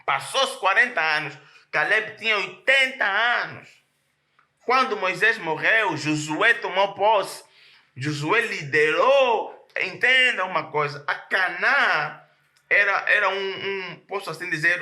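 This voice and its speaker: A man's voice: high-pitched, expressive, slow pace, pushed and bright, reverberant. A male pastor preaching a sermon, emphatic and often repeating words as he searches for the next phrase.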